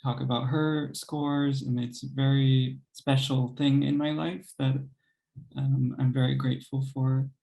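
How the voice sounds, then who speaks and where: A young man and composer, speaking thoughtfully in an interview, hesitant with many pauses and soft laughs. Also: mid-range voice, level tone, creaky. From United States. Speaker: composer and pianist guest. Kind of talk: reflective interview conversation.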